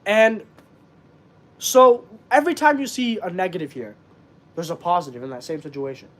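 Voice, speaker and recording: Young guy host, high pitched, congested voice, earnest rambling stream chat. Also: moderate pace, a bit bright. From Canada. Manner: earnest, rambling, many fillers. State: stuffed up. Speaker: wrestling channel host. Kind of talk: casual live-stream chat.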